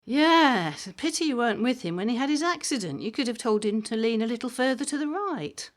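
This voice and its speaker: Actress playing a lively, wry, mischievous mum. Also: female voice, a little high, brisk. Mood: dry, exasperated. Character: chatty, wry mother with a mischievous streak. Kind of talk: audio drama comedy dialogue.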